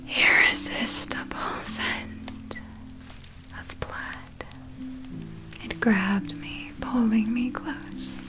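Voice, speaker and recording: Woman performing a seductive vampire thief, breathy whisper, extremely slow and lingering, predatory, ASMR roleplay storytelling. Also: a little high. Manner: slow, deliberate, lingering on phrases.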